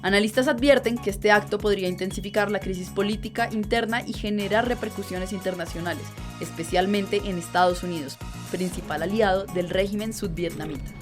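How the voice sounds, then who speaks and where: A woman playing a grave radio news reporter, reading a scripted bulletin in a formal, measured way. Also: medium pitch, lightly acted. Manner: formal, measured newsreader delivery. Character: grave female news reporter announcing breaking events. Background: music under the voice.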